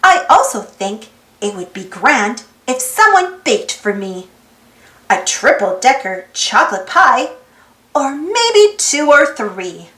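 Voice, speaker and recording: A woman reading a children's storybook aloud in an expressive, singsong rhymed delivery. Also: on the high side, slow. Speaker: storybook reader, channel host. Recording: roomy echo with music behind. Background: music.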